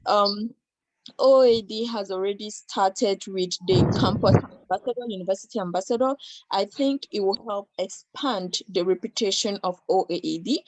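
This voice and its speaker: Young woman candidate on a call, lots of ums, pitching herself. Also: no room sound, low-quality recording. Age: young adult. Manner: hesitant, many fillers. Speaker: election candidate. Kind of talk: campaign self-introduction. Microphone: video call.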